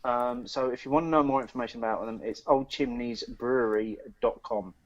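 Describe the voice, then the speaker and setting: A man's voice: medium pitch, steady tone, a little fast, no room sound. Man chatting about beer, dull lo-fi sound. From East Anglia, England. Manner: rambling with frequent ums and restarts. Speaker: podcast co-host. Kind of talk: casual beer review chat. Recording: dry dull lo-fi podcast recording.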